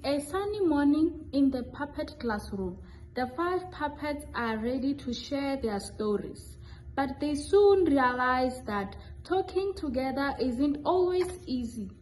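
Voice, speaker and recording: High, lively young woman narrating slowly. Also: slight background noise, poor audio. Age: young adult. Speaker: narrator and student puppeteer. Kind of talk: scripted children's story narration.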